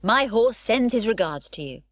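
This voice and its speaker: A woman's voice: normal speed, dry recording, clean studio sound. A woman reader voicing a bold, cheeky little red-haired girl in a storybook. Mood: cheerful. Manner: high, bright and excited.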